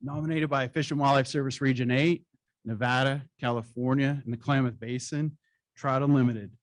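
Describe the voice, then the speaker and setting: A man's voice: mid-range voice, fairly even intonation, no room sound. Man reading award citations. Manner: formal, measured reading with occasional slips. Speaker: award presenter. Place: conference hall stage.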